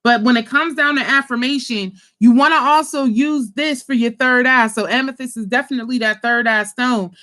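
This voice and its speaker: A high-pitched woman chatting casually to her viewers about spiritual kits she sells. She is animated and talkative, swears freely and keeps calling her audience y'all. She comes from Pittsburgh. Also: normal speed, few pauses. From Pittsburgh, USA. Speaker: spiritual kit seller and channel host. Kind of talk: casual livestream chat to viewers.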